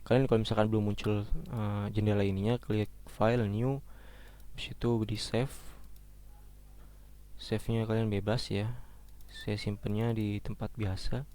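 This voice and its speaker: Slightly hoarse man teaching coding, halting. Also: fairly low pitch, level tone, dark tone. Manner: casual, halting, many fillers and restarts. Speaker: programming lecturer. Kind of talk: screen-recorded coding lesson.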